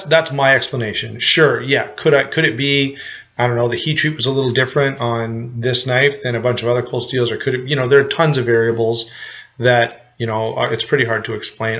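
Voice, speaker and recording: A man's voice: medium pitch, a bit bright, highs cut off. A man with a cold giving a casual, rambling knife review to camera, full of restarts and fillers. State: has a cold.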